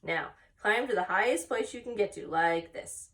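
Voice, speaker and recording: Woman as a wise, expressive storybook spider. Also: medium pitch, moderate pace, recorded from a distance, natural voice. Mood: instructing. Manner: instructive, matter-of-fact. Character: wise, frank, kindly female spider, patient teacher.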